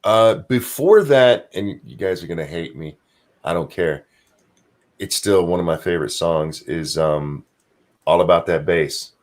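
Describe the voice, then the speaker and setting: A man with a very deep voice, co-hosting a casual livestream chat, rambling and swearing freely while he hunts for names he has forgotten. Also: measured pace. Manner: profane, rambling, trails off searching for names. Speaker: podcast co-host.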